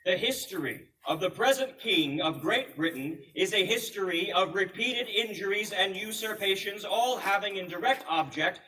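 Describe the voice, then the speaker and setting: A man's voice: high-pitched, moderate pace, hardly any pauses, pushed and bright, recorded from a distance, a little hiss or hum, poor audio. A man playing a solemn, resolute colonial American statesman, reading a proclamation aloud as formal, stately public oratory with emphatic projection. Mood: indignant, resolute. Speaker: historical reenactor.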